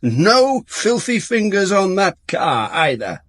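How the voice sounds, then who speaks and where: An actor playing an observant, brusque police inspector in a radio drama, with deliberate, clipped phrasing and a wry touch. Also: male voice, sits high, sing-song, a bit bright, dry recording, clean studio sound, exaggerated voice. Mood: brisk, commanding. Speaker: lead actor and narrator. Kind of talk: radio drama acting and first-person narration.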